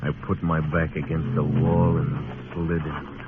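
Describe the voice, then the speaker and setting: An American actor playing a tough, laconic Old West marshal, narrating and speaking tersely in an old radio drama. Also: male voice, very deep voice, flat delivery, unhurried. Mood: terse, watchful. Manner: terse, dry. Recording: tinny old radio recording with music.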